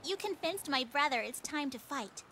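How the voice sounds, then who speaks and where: A woman's voice: high-pitched, expressive, moderate pace, pushed and bright, slight background noise, exaggerated voice. A young woman playing a headstrong, proud warrior princess in a video game, spirited and forthright. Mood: pensive. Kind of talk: dubbed video game cutscene acting. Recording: game soundtrack music behind.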